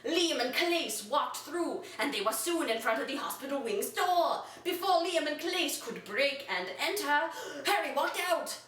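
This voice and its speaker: High-voiced woman reading in an echoey room. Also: lively intonation, measured pace, hardly any pauses, fairly bright tone. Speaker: reader of an amateur story. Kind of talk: mock-dramatic storytelling, reading aloud. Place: echoey room, away from the mic. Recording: roomy echo, distant from microphone.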